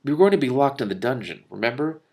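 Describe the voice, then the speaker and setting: A man voicing an excitable, pleading young crown prince in a storybook. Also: medium pitch, fast, lightly acted. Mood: pleading, excited.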